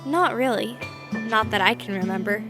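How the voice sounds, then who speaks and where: A woman playing a friendly, slightly shy new high-school girl from space in a comic fan dub. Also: normal speed, close to an everyday voice. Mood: friendly, candid. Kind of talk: comic fan dub acting and first-person narration. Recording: voice over prominent background music.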